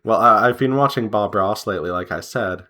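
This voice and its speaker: A man chatting casually as he draws, rambling in an unhurried way and pausing mid-sentence. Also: lowish voice, pushed and bright. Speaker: artist and devlog host. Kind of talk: casual chat while drawing.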